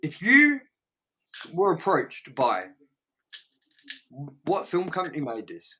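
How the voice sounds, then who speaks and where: A man's voice: a little high, huge pitch swings, takes it slowly, bright, forceful tone, slight background noise, low-quality recording. A man co-hosting a casual movie review, speaking in an emphatic, opinionated and sweary way. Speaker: review show co-host.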